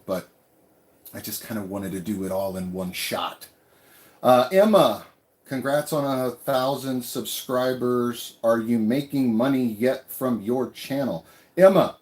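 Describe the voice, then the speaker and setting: A man from California with a slight Texas twang, chatting casually on a livestream, reading viewer questions aloud and answering with lots of fillers. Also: fairly low pitch. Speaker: travel vlogger. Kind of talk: casual live Q&A. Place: inside a small tiny house. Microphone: camera held for a livestream.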